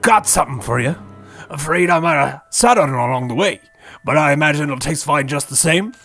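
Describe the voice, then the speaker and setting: A man's voice: a little high, expressive, brisk, vocal fry, bright, forceful tone, faint music behind, pitched below the performer's own voice, heavily acted. A man voicing a boisterous, crude but kindly half-giant groundskeeper in hearty rustic British dialect, in a comedy parody. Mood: jovial.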